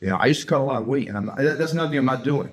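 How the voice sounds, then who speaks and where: A man's voice: mid-range voice, animated, very fast. A young adult man from Connecticut, a professional fighter as interview guest, talking bluntly with swearing, restarts and fillers. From Greenwich, Connecticut. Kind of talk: casual interview talk.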